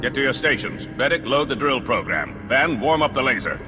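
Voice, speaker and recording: A male engineering team leader in a video game , played by an actor, briskly issuing orders. His pitch moves a lot and rings in a long reverb. Music sits behind him. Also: big pitch swings, natural voice. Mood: brisk, urgent.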